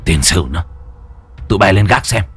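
A man voicing a gruff male loan-shark gang boss who barks orders and swears. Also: sits low, normal speed, fairly bright tone, soft background music.

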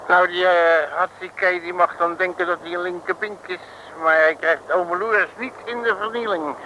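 A man's voice: high-pitched, steady tone, normal speed, close to an everyday voice. An actor playing a cocky, bumbling working-class Dutch detective who blusters and muddles his words, in a vintage comic radio serial. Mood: boastful, gruff. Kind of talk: 1950s-60s comic radio serial acting. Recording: hissy old radio recording.

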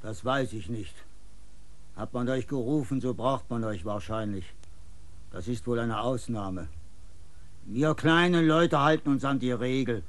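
An actor playing a curt, unwelcoming villager who sends a stranger away, in a radio play. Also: male voice, medium pitch, close to an everyday voice. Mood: stern. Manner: curt, slow, dismissive. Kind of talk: classic German radio drama acting.

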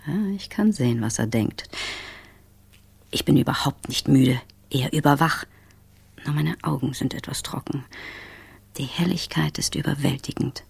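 Driven jetset businesswoman, radio play, husky croaky voice, clipped n intense, very dry sound. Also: a bass voice, normal speed, pauses often, darkish tone, no room sound, heavily acted. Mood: wired, euphoric. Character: driven, wired jet-setting business traveller. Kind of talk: radio drama inner monologue.

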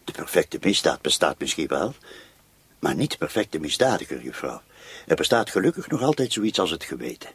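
An actor playing a persistent police commissioner in a radio play, questioning formally in a measured, husky way. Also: male voice, extremely low pitch, sing-song, fairly bright tone, dry recording, heavily acted. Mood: stern.

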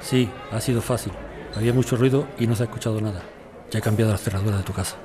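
A man's voice: fairly low pitch, fairly even intonation, very fast, a crowd in the background, background noise, lightly acted. A man playing a terse, secretive accomplice in a radio serial. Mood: hushed, resigned. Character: terse, secretive man reporting a covert job.

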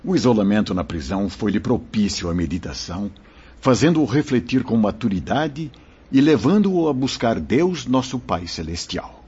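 An actor playing a wise, kindly spirit mentor in a radio drama, speaking in a solemn, formal, explaining way with a husky voice. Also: male voice, fairly low pitch, expressive, normal speed, a little mellow. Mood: solemn, benevolent. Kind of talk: radio drama acting, declamatory. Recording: muffled lo-fi radio drama recording.

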